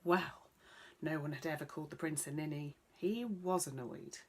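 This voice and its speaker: Bedtime-story narrator: woman, lower register, husky and breathy tone, reading for children. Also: normal speed.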